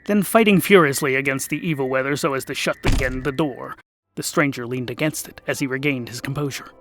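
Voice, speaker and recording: A man's voice: mid-range voice, fairly quick, noisy background. A man narrating a scripted comic fantasy tale in a theatrical, mock-epic manner with wry asides. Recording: voice over music. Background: music.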